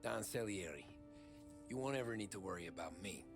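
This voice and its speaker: An actor playing a young mob recruit who narrates his own story in a low-key, dry, understated way. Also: animated, a little fast, gravelly, over music. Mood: earnest. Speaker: narrator and protagonist. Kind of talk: reflective game narration.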